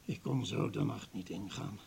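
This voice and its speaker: An actor playing an embittered, grieving older professor in a radio play, husky and weighty, full of wounded accusation. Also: male voice, lowish voice, normal speed, a little mellow, slight background noise. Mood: bitter, wounded. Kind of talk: Dutch radio play acting.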